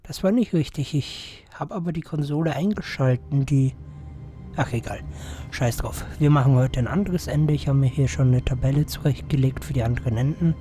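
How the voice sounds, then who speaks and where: A hoarse man hosting a let's play, commentating casually with rambling, mumbled asides, sitting close to the mic. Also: medium pitch, normal speed, warm tone, faint music behind. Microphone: close to the microphone.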